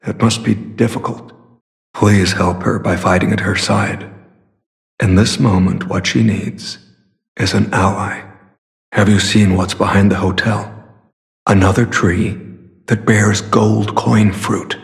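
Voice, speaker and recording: An actor voicing a grave, courteous, weary warrior in a video game, with formal, measured delivery. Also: male voice, fairly low pitch, vocal fry. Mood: troubled, sombre. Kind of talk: dramatic video game voice acting.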